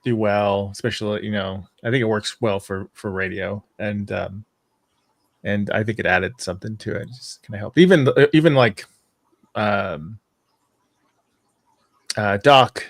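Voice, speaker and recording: Low-pitched man chatting on a fan panel. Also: steady tone, measured pace, pauses often, no echo. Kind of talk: casual panel chat.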